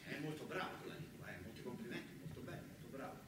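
A man's voice: mid-range voice, expressive, very fast, recorded from a distance, pitched below the performer's own voice, natural voice. Male, stage monologue: performer as pompous recruiter, formal and examining, in a very echoey, noisy room. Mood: formal, instructing. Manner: formal, examining, full of praise. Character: pompous, probing male factory recruiter from Turin.

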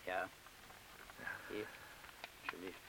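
A male actor playing a cautious, steady criminal accomplice in a radio drama, speaking in a measured, laconic, firm way. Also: natural voice. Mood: wary, terse. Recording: hissy old radio play recording.

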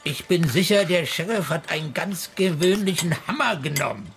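Radio drama: wisecracking, down-at-heel man, raised pitch, boisterous manner. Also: expressive, normal speed, a bit bright, soft background music. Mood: sarcastic. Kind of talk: German radio drama acting.

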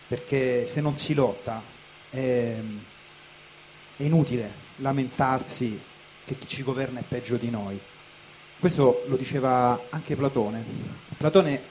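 A man in his late thirties delivering a conversational spoken theatre monologue, pausing and pressing on certain words. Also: medium pitch, darkish tone, natural voice. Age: late 30s. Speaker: stage performer, writer. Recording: clearly noisy live recording.

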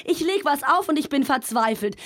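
An actress playing a despairing, overwrought woman in an experimental radio play, declaiming repetitively and bursting into shouts. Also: high-pitched, lively intonation, normal speed. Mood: despairing, defiant. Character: despairing, overwrought woman wired to an emotion machine.

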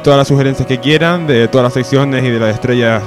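A man with a mid-pitched voice hosts a radio show in a casual style. Music is clearly audible behind him. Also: fast talker.

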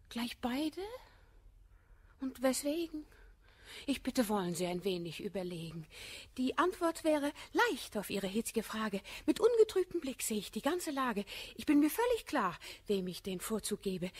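A woman's voice: medium pitch, slightly rough. An actress playing an elegant, evasive coquette in a classical verse radio comedy, haughty and refined, declaiming her lines. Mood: coolly evasive.

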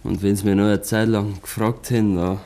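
An actor playing an uneasy, weary Bavarian husband in a dialect radio play, muttering and halting as he talks. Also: on the low side, little pitch movement, mellow, natural voice. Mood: weary, bitter.

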